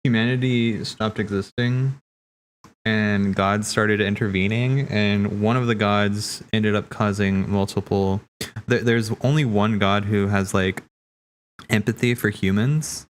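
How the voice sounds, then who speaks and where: A man's voice: on the low side, fairly even intonation, measured pace, slight background noise. A man giving casual watch-party commentary on game cutscenes, thinking aloud in a musing, hesitant way. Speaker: streamer reacting to game cutscenes.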